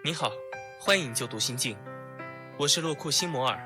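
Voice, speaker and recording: A man's voice: mid-range voice, expressive, a little fast, close to an everyday voice. A man playing a composed, businesslike young student council president in a visual novel game, formal and curt. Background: game music.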